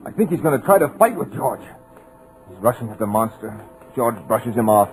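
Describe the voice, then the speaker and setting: A man's voice: mid-range voice, very animated, fairly quick, lightly acted, poor audio. An actor playing a terrified, paralyzed male writer narrating in a fearful voice, in 1940s radio acting. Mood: frantic. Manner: frantic then hushed, fearful narration. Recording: old radio recording with music.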